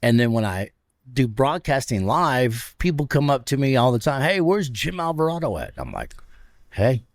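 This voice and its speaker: A man in his fifties with a deep, raspy voice, a radio personality telling stories with laughs in a casual interview. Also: moderate pace, dry recording, clean studio sound. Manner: animated, laughs between stories. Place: stadium club room.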